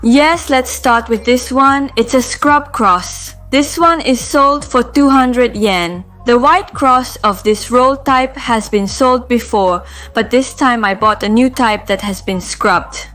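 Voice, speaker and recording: A woman with a fairly high, slightly hoarse voice walks through household products one feature at a time. She speaks in a calm, methodical way. Soft music plays faintly under her voice. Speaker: home organizing vlogger. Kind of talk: product review vlog.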